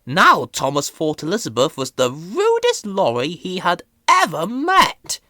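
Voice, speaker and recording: A woman's voice: lowish voice, huge pitch swings, a little slow, pushed and bright, no echo, studio quality. A woman reading a children's story as a scripted narration. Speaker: storybook reader.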